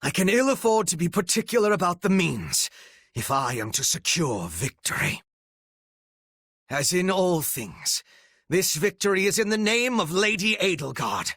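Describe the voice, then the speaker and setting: Game role: cold, devoted male strategist, raised pitch with animated swings, archaic formal phrasing, menacing rasp. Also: moderate pace, dry recording, clean studio sound, heavily acted. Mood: grim resolve. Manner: formal, archaic, theatrical diction. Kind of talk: video game voice acting.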